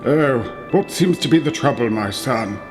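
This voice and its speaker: Man playing a smooth bishop in an echoing hall. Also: medium pitch, animated, moderate pace, over music, natural voice. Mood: smooth, assured. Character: busy, self-assured male bishop, smoothly insistent. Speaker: radio play actor. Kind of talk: radio play acting. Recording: long echoing hall reverb.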